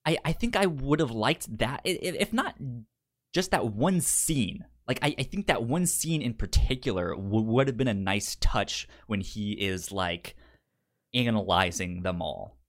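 A man co-hosting a casual film discussion, speaking haltingly and often stammering and repeating words. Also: mid-range voice, lively intonation. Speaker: film podcast co-host.

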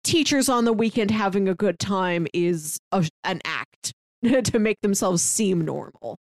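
A woman's voice: mid-range voice, a little slow, no echo, studio quality. A woman co-hosting a podcast, retelling film scenes in casual chat full of fillers. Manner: lively retelling with frequent fillers. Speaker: podcast co-host.

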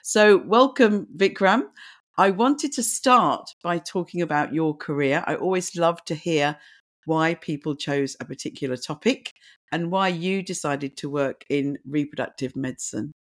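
A woman hosting a health podcast, hoarse and croaky from little sleep, reading a guest's introduction and asking interview questions. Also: low voice, unhurried. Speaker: podcast host.

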